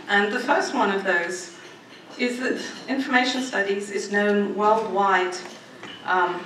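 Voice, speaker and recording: A middle-aged woman giving a formal prepared academic speech with informal asides, in a measured way that stresses listed points. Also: mid-range voice, fairly bright tone. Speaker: academic speaker at a department anniversary event. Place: medium-sized indoor lecture room with audience. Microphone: gooseneck podium microphone. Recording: roomy, distant microphone, faint background noise.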